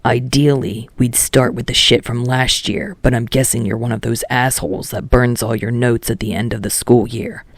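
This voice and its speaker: A man acting out a hot-headed, foul-mouthed teenage boy from an audiobook. He is blunt and scolding, with a husky growl full of gravel, captured close and dry. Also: mid-range voice, flat delivery, normal speed, few pauses, no echo, close to an everyday voice. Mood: gruff, impatient. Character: brash, foul-mouthed, short-tempered teenage boy tutoring a classmate. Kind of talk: acted dialogue in fan fiction audiobook.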